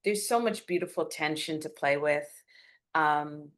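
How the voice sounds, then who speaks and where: A woman with a notably low voice gives feedback on creative work. Her delivery is conversational and measured, with an encouraging manner. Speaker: panelist giving script feedback.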